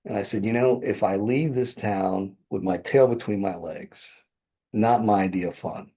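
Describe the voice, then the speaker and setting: Gravelly-toned older man telling his story. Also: fairly low pitch, normal speed, warm tone. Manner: rambling, self-correcting, chuckles often. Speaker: podcast guest, real estate developer. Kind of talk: conversational interview storytelling. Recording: dull lo-fi podcast audio.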